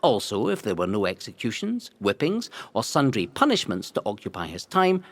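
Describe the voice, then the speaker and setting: A man reads a scripted historical narration in a theatrical, measured storytelling manner. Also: on the low side, huge pitch swings. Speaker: narrator of a local history audio guide.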